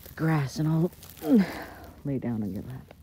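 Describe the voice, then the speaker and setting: Male, low mellow voice, playful boyfriend-style ASMR chat, soft-spoken, recorded outside with faint noise behind. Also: very animated, normal speed. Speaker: audio roleplay performer playing a boyfriend. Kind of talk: intimate ASMR roleplay, casual chat. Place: outdoors. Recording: outdoor recording with background noise. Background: outdoor ambience and noise.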